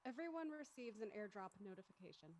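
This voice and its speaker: A woman's voice: mid-range voice, expressive, fast talker, darkish tone. A woman reading stage directions as the narrator of a scripted drama.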